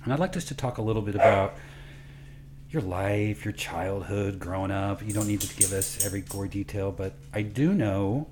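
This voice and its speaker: A man's voice: on the low side, normal speed, background noise. A man hosting a podcast, chatting casually and informally as he interviews a guest.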